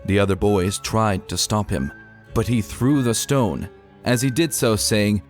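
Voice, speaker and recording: A man reading a scripted old ghost account as narrator, in a measured, formal storytelling manner. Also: lowish voice, soft background music.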